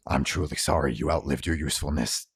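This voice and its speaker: A man voicing a scarred orc woman enforcer in a tabletop game, speaking in a measured, formal, coldly menacing way. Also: very deep voice, husky, dry recording, studio quality, pitched below the performer's own voice, exaggerated voice.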